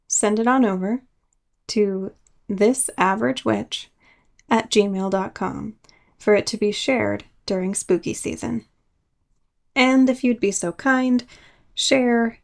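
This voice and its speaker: Woman podcast host with a clear, close voice. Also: mid-range voice, a little mellow, dry recording. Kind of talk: scripted personal storytelling with casual asides.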